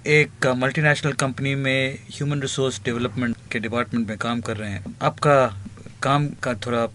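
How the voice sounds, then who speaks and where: A man conducting a radio interview, asking questions haltingly and mixing in English words. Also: medium pitch, steady tone, fairly bright tone. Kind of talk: interview questions with short acknowledgements. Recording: faint background noise.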